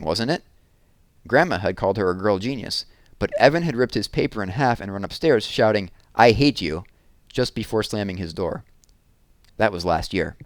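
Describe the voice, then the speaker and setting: A man narrating a children's book aloud with expressive storytelling. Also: lowish voice, no room sound, studio quality.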